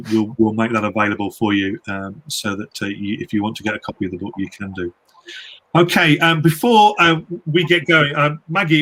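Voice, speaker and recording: A man moderating an informal live online discussion, with a halting, hesitant way of phrasing things. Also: medium pitch, pushed and bright. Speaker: host, panel moderator.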